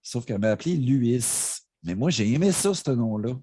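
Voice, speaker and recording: A man's voice: mid-range voice, lively intonation, normal speed, dark tone, husky, dry recording. A man with a Quebec French accent, a guest DJ telling stories in a casual livestream chat at his home streaming setup. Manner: laid-back rambling storyteller.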